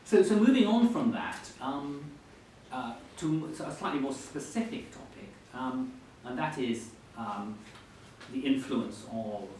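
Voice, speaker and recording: A man acting as interviewer at a book event, putting questions politely in halting, hesitant phrases. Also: mid-range voice, lively intonation, darkish tone. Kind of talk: conversational questioning before an audience. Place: indoor event hall. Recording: echoey hall, distant mic, faint noise.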